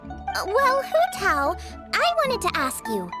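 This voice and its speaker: A woman's voice: very high voice, huge pitch swings, takes it slowly, heavily acted. A woman voicing a perky, headstrong teenage girl cook in a video game, eager and bubbly. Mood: eager, curious. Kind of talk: video game character acting. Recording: music behind the voice.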